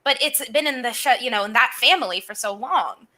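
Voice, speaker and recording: A woman guest on a show, chatting in an animated, opinionated way. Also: fairly high pitch, a little fast. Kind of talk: casual chat.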